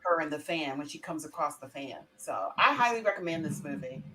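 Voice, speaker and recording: A mature woman with a slightly low voice talks casually as a panelist. She is emphatic and likes to restate her point for effect. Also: expressive, fairly quick, pushed and bright, poor audio. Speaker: panelist on a talk show. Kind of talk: casual chat.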